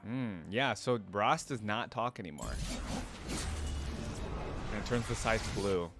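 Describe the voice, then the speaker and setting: A man's voice: lowish voice, takes it slowly, background noise. A man hosting a reaction video, musing aloud in casual, conversational commentary. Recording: game music behind the voice. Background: music from the game video being watched.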